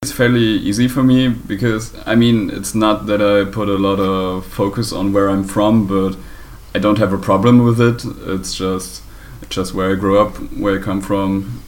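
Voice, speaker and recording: A man's voice: lowish voice, level tone, normal speed, hardly any pauses. A man from Germany answering plainly and directly. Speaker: interviewee.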